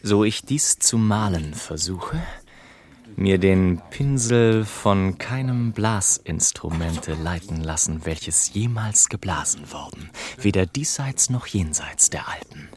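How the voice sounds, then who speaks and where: Radio play narrator: learned, whimsical gentleman, very deep, unhurried, ornate and mock-solemn. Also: animated.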